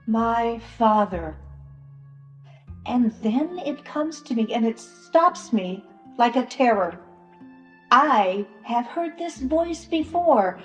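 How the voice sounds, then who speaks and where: Woman acting troubled grandmother, biblical stage drama, solemn long pauses, higher pitch, roomy echo. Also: lo-fi sound. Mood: sorrowful, questioning. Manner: slow, solemn, with long pauses between phrases. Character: sorrowful grandmother in occupied ancient land, a troubled bystander. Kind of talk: dramatic monologue in a church Passion play. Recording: roomy echoing church sound with music.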